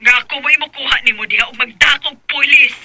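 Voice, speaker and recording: A woman's voice: high voice, quick pace, close to an everyday voice. An actress with a Cebuano accent playing a worried, insistent middle-aged mother in a radio drama. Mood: urgent, scolding. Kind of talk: Filipino radio drama acting.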